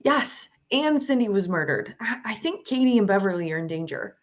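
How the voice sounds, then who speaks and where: A woman's voice: medium pitch, big pitch swings, normal speed, lightly acted. A woman playing the worried, insistent partner of a missing person in a screenplay table read, pressing and urgent.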